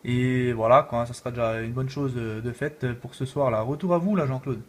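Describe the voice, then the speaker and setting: A man's voice: medium pitch, fairly quick. A man chatting casually as an amateur radio operator, rambling along with repeated filler tags. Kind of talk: casual radio contact chat.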